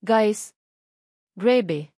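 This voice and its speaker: A woman narrator voicing an excitable, gossiping male office worker in a dramatic story, with a Filipino accent. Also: medium pitch, extremely slow, husky, no room sound, studio quality, heavily acted. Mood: excited.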